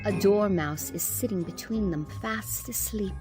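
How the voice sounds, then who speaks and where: A woman's voice: mid-range voice, unhurried, over music, lightly acted. A woman reading scripted storybook narration for a radio play.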